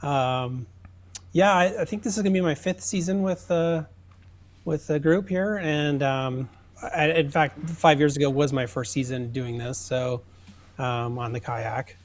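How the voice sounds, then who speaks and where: Higher-voiced man chatting as a guest. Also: measured pace. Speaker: podcast guest, sponsor and angler. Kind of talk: casual chat.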